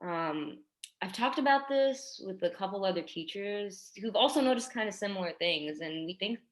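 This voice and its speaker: A woman acts out a tactful grade-school teacher in a contemporary drama reading. Her voice is mid-pitched, and she speaks carefully and apologetically, hesitating often but staying gently persistent. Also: expressive, normal speed, close to an everyday voice. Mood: careful, concerned.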